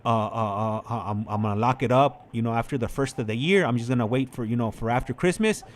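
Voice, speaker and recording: An American man shares personal stories in a loose, conversational way. He rambles and circles back, repeating words and leaning on filler phrases as he goes, at a medium pitch. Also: fast talker. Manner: rambling, repetitive, peppered with "like" and "you know". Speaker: online channel host. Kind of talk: casual chat, telling personal stories.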